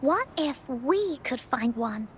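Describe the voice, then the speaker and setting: A very high-pitched, lively little girl from a video game. She tells adventure tales with encouragement and theatrical pauses, her intonation rising and falling. There is a faint rumbling noise behind her. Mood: hopeful, encouraging. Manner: theatrical, deliberate storytelling with dramatic pauses. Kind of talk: video game voice acting, dramatic storytelling.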